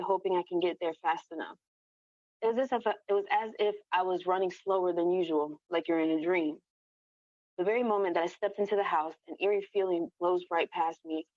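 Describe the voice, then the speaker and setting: A woman reading her own first-person story aloud as a narrator, in an echoey, muffled recording. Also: lowish voice. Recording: echoey room, dull lo-fi sound.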